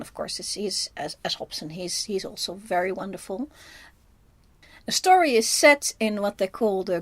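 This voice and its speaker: A woman with a Dutch accent giving a casual film review, speaking haltingly as she thinks aloud. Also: medium pitch, dry recording. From Netherlands.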